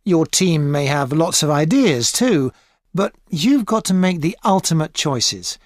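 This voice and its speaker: An actress playing an experienced tutor advising a student in a scripted exam dialogue, calm and measured. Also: female voice, deep voice, no room sound. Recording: dry studio recording.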